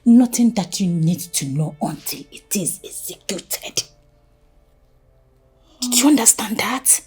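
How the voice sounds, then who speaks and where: A woman's voice: mid-range voice, animated, takes it slowly, darkish tone, slightly rough, soft background music, heavily acted. An actress with a Nigerian accent playing a desperate scheming woman who demands money, mixing pidgin and swinging from whispers to outbursts. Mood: menacing. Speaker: actor. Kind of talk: Nollywood drama acting.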